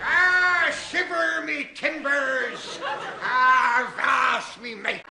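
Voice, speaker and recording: Swaggering scarred pirate, drawn-out gravelly roar, sixties radio comedy with noisy sound. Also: male voice, extremely high pitch, fairly even intonation, exaggerated voice. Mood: blustering, fierce. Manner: roaring, drawn-out pirate bluster. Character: swaggering, blustering scar-faced pirate sea dog. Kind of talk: 1960s radio comedy acting. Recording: noisy 1960s radio broadcast.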